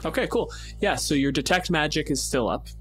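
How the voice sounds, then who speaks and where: A man's voice: fairly high pitch, lively intonation, fairly quick, fairly bright tone. A man speaking casually as game master, narrating a tabletop role-playing scene and making rulings, sometimes swearing. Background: music under the voice.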